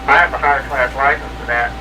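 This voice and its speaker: A man's voice: medium pitch, steady tone, fast talker. Man chatting over noisy, distant CB radio. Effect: CB radio transmission. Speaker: amateur CB radio operator. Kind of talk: casual chat over CB radio.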